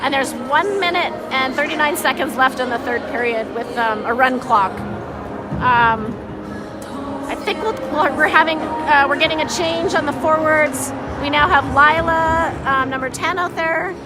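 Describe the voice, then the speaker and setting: A high-pitched, slightly scratchy female voice calls a hockey game informally. She sounds like an amateur, halting and openly unsure as she follows the play. Loud music and crowd noise fill the arena behind her. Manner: halting, unsure, self-deprecating, conversational. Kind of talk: informal live hockey commentary. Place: indoor ice hockey arena.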